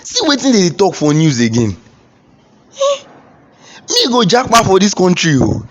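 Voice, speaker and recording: Exasperated Nigerian grumbler, radio drama, high-pitched, complaining, muffled lo-fi sound. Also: male voice, normal speed, heavily acted. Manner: animated, complaining. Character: exasperated, gloomy everyman grumbling to his friend. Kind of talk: radio drama acting in Pidgin. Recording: dull, lo-fi sound.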